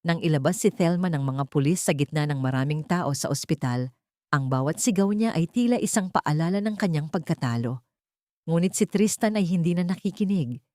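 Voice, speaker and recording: Low, mellow woman narrating drama. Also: expressive, brisk, dry recording, studio quality. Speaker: story narrator.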